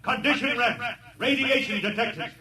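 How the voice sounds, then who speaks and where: Urgent, very high-pitched alarm caller. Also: male voice, moderate pace, crowd noise. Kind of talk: 1950s radio drama acting.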